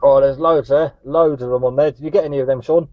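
A man's voice: mid-range voice, steady tone, quick pace, noisy background. A man from Northumberland in England chatting in a friendly way as a CB radio operator, using radio jargon. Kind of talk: casual radio contact chat. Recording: dull, muffled radio audio.

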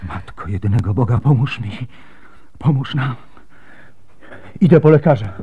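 An actor playing a desperate, devoted soldier husband with a temper, earnest and intense, in a Polish radio drama. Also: male voice, mid-range voice, expressive, moderate pace, husky, narrow, tinny audio. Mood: desperate, demanding.

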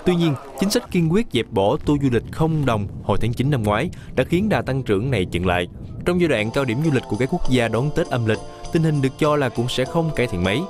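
Fast-reading male news narrator. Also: mid-range voice, over music. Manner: brisk, even newsreading. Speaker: news reporter. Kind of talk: scripted news voice-over.

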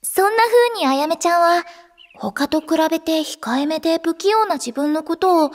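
High girlish, earnest teen idol. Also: animated, moderate pace. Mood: earnest, fond. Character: bright, earnest teenage girl idol, caring and expressive. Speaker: voice actress, narrator and lead. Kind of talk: poetic Japanese anime audio drama.

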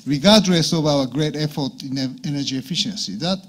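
Halting higher-pitched male panel moderator. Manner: halting, repeats word starts. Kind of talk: moderating a conference debate. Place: conference meeting room with long tables.

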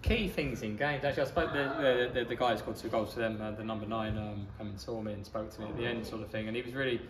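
Fast, flat-toned man. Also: lowish voice. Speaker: football manager. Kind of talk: post-match interview. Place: outdoors in a small football ground stand. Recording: echoey stand with crowd noise.

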